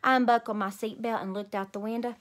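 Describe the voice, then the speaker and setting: A woman in her thirties reading a children's story aloud in an animated, emphatic way. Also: on the high side, quick pace. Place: small room at home.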